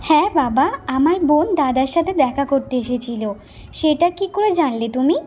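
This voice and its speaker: A woman's voice: high-pitched, animated, normal speed, darkish tone, soft background music. A woman voicing an envious, greedy village wife in a fairy-tale cartoon, with a complaining, questioning manner. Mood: curious. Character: envious, greedy younger village wife, curt and self-serving.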